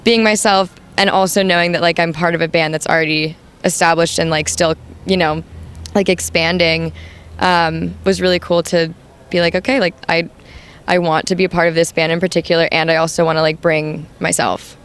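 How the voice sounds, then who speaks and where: Chatty young woman with a high voice. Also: flat delivery, fairly quick, clear, resonant tone. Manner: chatty, full of "like" and "um". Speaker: band's new singer. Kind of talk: casual interview answers.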